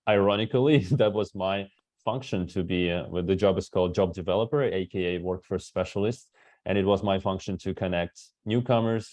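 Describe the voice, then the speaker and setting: Talkative thirties male recruiter, first language Russian, deep voice, dry sound. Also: darkish tone, no room sound. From Kazakhstan. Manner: talkative, tags many sentences with "right?". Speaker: guest speaker, recruiter. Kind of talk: webinar talk.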